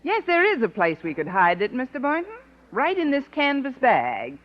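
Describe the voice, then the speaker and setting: A wry, wisecracking schoolteacher, lively pitch. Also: female voice, moderate pace. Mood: brisk, scheming. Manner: dry, wisecracking. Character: wry, sardonic female high school English teacher. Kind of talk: 1950s radio sitcom acting. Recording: dull, noisy 1950s radio recording.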